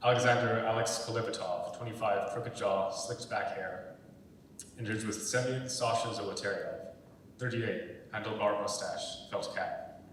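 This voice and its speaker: A man's voice: unhurried, a bit bright. A man reading screenplay stage directions aloud as scripted narration, recorded from far off in an echoing hall. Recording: echoey hall, far from mic, rumbly noise.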